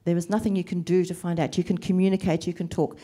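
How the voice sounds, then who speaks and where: A middle-aged woman talks on a panel about caring for family, earnest and measured. Her voice sits low and mellow, with the weariness of someone short on sleep. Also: level tone, slightly rough. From Lebanese family, raised in New Zealand and Australia. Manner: earnest, emotional, measured with pauses. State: sleep deprived. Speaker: panelist, carer and social worker. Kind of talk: panel discussion answers.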